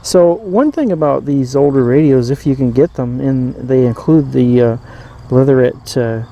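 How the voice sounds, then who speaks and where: A man giving a casual, unscripted demonstration as a reviewer, pausing often and rambling a little as he goes. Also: mid-range voice, dull audio. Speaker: vintage radio reviewer. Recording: clear background noise.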